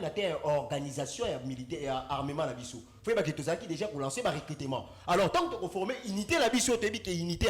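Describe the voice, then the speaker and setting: A man speaking as a debate guest in a rambling, halting way. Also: high voice, roomy sound. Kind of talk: political debate.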